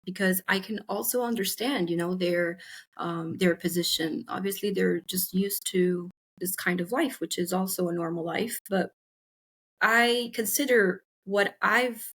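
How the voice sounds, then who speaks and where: A young woman from Italy, a podcast guest, reflecting thoughtfully with pauses and fillers. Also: mid-range voice, steady tone, a bit bright, husky. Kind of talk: casual interview.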